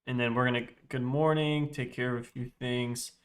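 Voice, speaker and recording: A man's voice: medium pitch, fairly even intonation, moderate pace. A young man with a congested, stuffy voice, chatting casually on a live trading stream, rambling and correcting himself as he goes. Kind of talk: live-stream trading chat, reading chat aloud.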